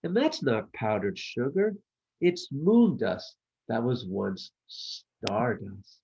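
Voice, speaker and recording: A man voicing an eager, cheerful young boy gnome in a children's storybook, excitable and earnest. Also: medium pitch, slow pace, muffled recording. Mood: eager, proud.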